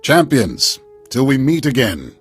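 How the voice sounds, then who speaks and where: An actor playing a proud old warrior clan leader, gravelly and commanding, in a video game cutscene. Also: male voice, medium pitch, wildly swooping pitch, a little slow, soft background music, exaggerated voice. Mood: boisterous, rousing. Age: older. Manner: commanding, declamatory.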